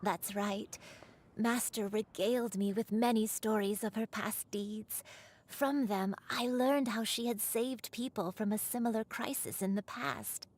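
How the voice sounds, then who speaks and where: A woman voicing a gentle, guilt-burdened old grandmother in a video game, speaking tenderly and formally like a storyteller. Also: a little high, steady tone, a little slow. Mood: wistful. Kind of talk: dramatic video game voice acting.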